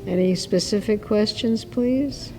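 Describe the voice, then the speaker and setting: A woman's voice: medium pitch, measured pace, darkish tone, faint music behind, poor audio. A woman in her late eighties speaking in a forthright, chatty way in an interview. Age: 80s. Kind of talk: unscripted personal interview.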